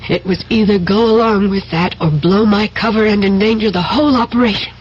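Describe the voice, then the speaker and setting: A woman's voice: mid-range voice, steady tone, brisk, natural voice. An actress playing an earnest, insistent woman double agent in a 1970s radio drama. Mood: urgent, pleading. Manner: urgent, insistent. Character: earnest, pleading femme fatale double agent. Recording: muffled, noisy old radio drama recording.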